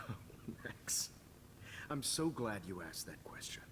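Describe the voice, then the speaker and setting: An actor playing a pompous, calmly menacing photographer villain in a video game, gloating softly over noisy captured audio. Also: male voice, lowish voice, slow pace, whispered, exaggerated voice. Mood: smug, lecturing. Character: smug, calmly menacing photographer kidnapping his students.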